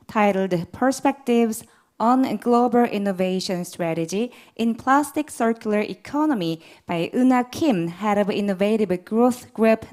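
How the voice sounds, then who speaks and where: A woman's voice: on the high side, a little mellow. A woman emceeing a conference, formally introducing the next presenter. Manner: formal, announcing. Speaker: event emcee. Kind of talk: formal stage announcement.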